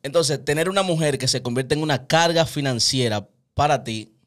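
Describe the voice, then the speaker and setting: Animated Dominican man hosting a podcast. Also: fairly high pitch, moderate pace. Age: 30s. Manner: animated, gestures widely, preachy. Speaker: host. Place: small indoor home studio. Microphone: studio mic on stand, wearing headphones.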